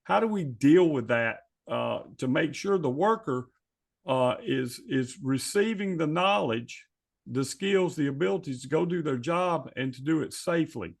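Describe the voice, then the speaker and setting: A man speaking as a podcast host in a conversational, deliberate way, repeating phrases for emphasis. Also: a little high, animated, gravelly.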